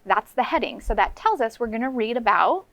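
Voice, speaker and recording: A woman's voice: fairly high pitch, very animated, brisk, a bit bright, no echo. An American woman teaching young children, explaining simply in a read-aloud lesson as a kindergarten co-host.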